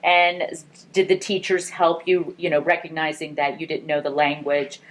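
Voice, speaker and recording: A woman conducting an oral history interview, asking gentle, encouraging questions. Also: sits low, little pitch movement, moderate pace, rough recording.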